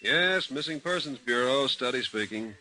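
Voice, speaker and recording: An actor playing a wisecracking, unsympathetic private-eye type in an old-time radio drama, clipped and mocking. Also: male voice, mid-range voice, expressive, moderate pace, natural voice. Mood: dry, dismissive. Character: sardonic, hard-boiled male detective, unsympathetic and wisecracking. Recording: old radio recording, faint noise.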